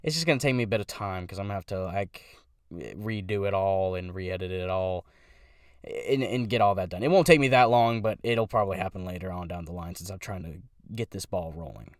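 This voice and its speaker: A man's voice: deep voice, brisk, dry recording, studio quality. A young man who does voice impressions, talking casually about his channel in a rambling way, stopping to correct himself.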